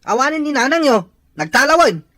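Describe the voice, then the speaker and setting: A man's voice: very high-pitched, sing-song, brisk, pushed and bright, no echo, heavily acted. A man with an Ilocano accent playing a blunt, exasperated, irresponsible father in a radio drama. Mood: angry, exasperated. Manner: blunt, complaining.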